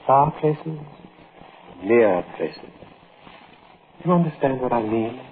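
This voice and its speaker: A stern, cryptic astronomer in an old radio play. He is slow, deliberate and quietly menacing, giving commands. The sound is thin and narrow with faint hiss. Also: mid-range voice, lively intonation. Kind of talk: 1940s radio acting.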